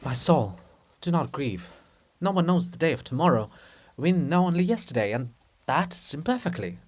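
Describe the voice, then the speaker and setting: A man voicing a gentle, wise saint's statue, soothing and rhetorical, in a dramatic reading of a play. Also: high voice, pitched above the performer's own voice.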